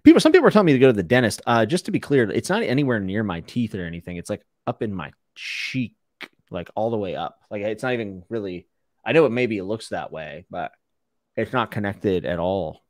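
Man, early thirties, podcast host, low voice, self-deprecating, dry close mic. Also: sing-song, a little fast, no echo. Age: 30s. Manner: self-deprecating, reads chat comments aloud. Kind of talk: casual livestream chat. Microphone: podcast mic with headphones.

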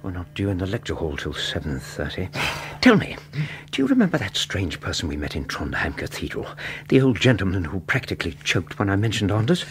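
An actor playing a fussy, learned middle-aged English academic in a radio drama, donnish and hesitant, with Received Pronunciation. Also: male voice, low voice, huge pitch swings, a little fast, fairly bright tone, a little hiss or hum, heavily acted. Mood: conversational. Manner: donnish, hesitant, prone to mild exclamations. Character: fussy, learned Edwardian English academic and ghost-story writer. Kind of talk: radio drama acting, storytelling narration and dialogue.

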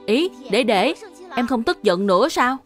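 Little big brother, high-pitched, earnest, full of questions, children's cartoon. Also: female voice, big pitch swings, a little slow, faint music behind. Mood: concerned. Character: earnest, questioning young boy, older brother.

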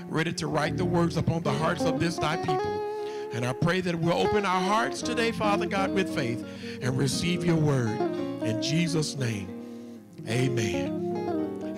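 Southern preacher guy, 40s, fairly high voice, handheld mic in a big echoey church. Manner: leads the congregation in call-and-repeat, reads scripture slowly and deliberately. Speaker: pastor. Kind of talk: sermon with scripture reading. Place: large church sanctuary, standing at a pulpit. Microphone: handheld microphone. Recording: church hall with long reverb, faint music.

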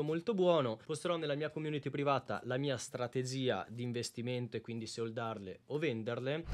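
A man's voice: mid-range voice, a little mellow, a little hiss or hum. Chatty man hosting a vlog. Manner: informal, chatty, addresses viewers directly. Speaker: YouTube host, sneaker reseller. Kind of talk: sneaker unboxing vlog, addressing viewers.

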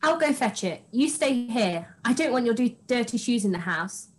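A young woman playing a haughty, naive pantomime princess in an expressive, slightly stagey way. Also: fairly high pitch, normal speed, lightly acted. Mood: haughty, puzzled. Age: young adult. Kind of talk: script read-through on video call. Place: room at home, video call.

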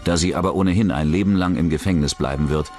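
A man's voice: sits low, quick pace, darkish tone. A man reading scripted narration for a true-crime documentary, with music playing behind him.

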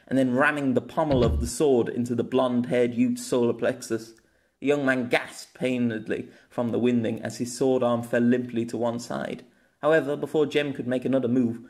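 A man reading a scripted fantasy story aloud as an audiobook narrator. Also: medium pitch.

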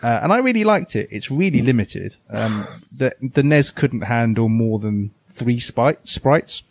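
A man's voice: mid-range voice, animated, a little slow, darkish tone, dry recording. A man co-hosting a podcast, chatting casually and giving opinions with emphasis. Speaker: podcast co-host. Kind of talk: casual retro gaming chat.

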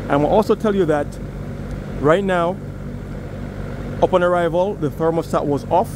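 A man with a New York accent talks casually to his viewers while he works. He explains each step as he goes, and his voice sits a bit high for a man. He is filming on a handheld camera outdoors, with plenty of outside noise behind him. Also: normal speed. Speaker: HVAC technician. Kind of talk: on-the-job video walkthrough. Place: outdoors beside a house at an air conditioner unit.

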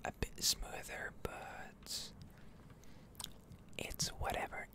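A game streamer speaking in a breathy, unvoiced whisper, giving soothing ASMR commentary with drawn-out words. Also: pushed and bright. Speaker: video game streamer and channel host.

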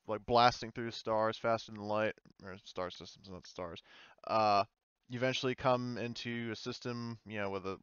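Male game master, informal and halting, smooth low voice, close dry slightly dull recording. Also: fairly bright tone, no room sound. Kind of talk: improvised narration of a tabletop roleplaying game.

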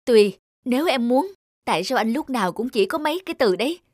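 A woman's voice: fairly high pitch, expressive, quick pace, darkish tone, dry recording, studio quality. A woman playing an aggrieved wife and mother in a dramatic cartoon, speaking in a firm, reproachful way. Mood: exasperated, reproachful. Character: aggrieved adult wife and mother, bitter and exasperated.

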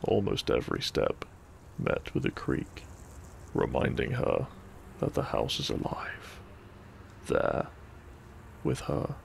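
Gravelly, slow man narrating softly at bedtime. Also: on the low side, wildly swooping pitch, slight background noise. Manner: soft, breathy, soothing, often near whisper. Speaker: sleep-aid audio narrator. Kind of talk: gentle bedtime story narration, ASMR.

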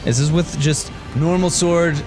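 A man's voice: on the high side, fairly even intonation, a little slow. A man chatting casually and describing moves as a player in a tabletop roleplaying game. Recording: background music under voice.

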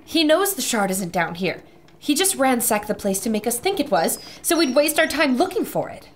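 An actress performs a keen woman adventurer of pulp radio. Her voice sits fairly high and she speaks briskly, clearly and dramatically. A faint noise lies behind her. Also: very animated. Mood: keen, deducing. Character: keen, sharp-witted woman adventurer reporting to listeners.

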